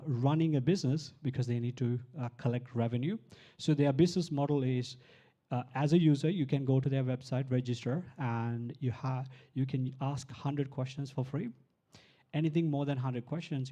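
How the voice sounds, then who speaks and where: A man's voice: mid-range voice, warm tone. A man from Sri Lanka giving a live conference talk about his technology, explaining step by step with many fillers and restarts. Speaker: tech founder presenting.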